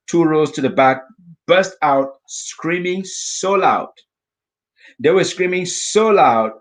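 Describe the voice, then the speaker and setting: Animated African man telling a story. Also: fairly high pitch. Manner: animated storyteller, voices his inner thoughts in asides. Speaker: guest speaker sharing a personal story. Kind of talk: storytelling talk.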